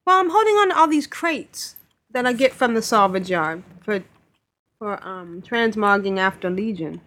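A woman co-hosting a casual gaming podcast, explaining game details and chiming in with quick interjections. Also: medium pitch, lively intonation, fairly bright tone. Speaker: podcast co-host.

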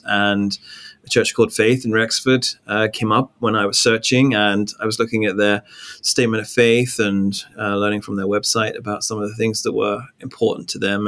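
English pastor with a low voice. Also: male voice. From England. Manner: relaxed storytelling, frequent ums, light laughs. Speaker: pastor, guest. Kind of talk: casual interview talk. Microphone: video call.

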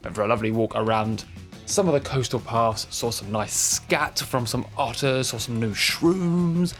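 A tired man hosting a podcast in a chatty, informal, self-deprecating way, his voice slightly croaky. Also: medium pitch, normal speed, faint music behind. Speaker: podcast host.

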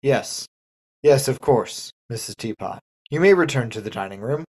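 Polite, restrained detective. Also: male voice, mid-range voice, animated, darkish tone, husky. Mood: tactful, grave. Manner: measured, formal, tactful. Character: polite, restrained male police detective questioning a widow. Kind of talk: comic radio murder mystery.

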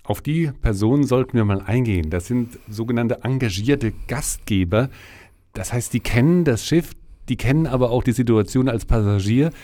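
Ein Mann moderiert im Studio einen Interview-Podcast und liest die Einleitungen geschliffen vom Skript ab. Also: male voice, mid-range voice, normal speed, dark tone. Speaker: podcast host. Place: audio studio.

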